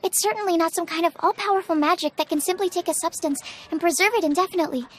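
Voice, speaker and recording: A woman's voice: very high-pitched, fast talker. A woman voicing a timid, earnest young female alchemist in an anime-style game, hesitant and apologetic. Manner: hesitant, softly explanatory, apologetic.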